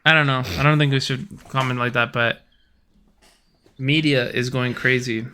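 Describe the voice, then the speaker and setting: A man's voice: medium pitch, fairly even intonation, normal speed, sharp tone, smooth. A man co-hosting a casual podcast chat, laid-back and rambling, with a faint Puerto Rican accent and a habit of swearing. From United States. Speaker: podcast co-host.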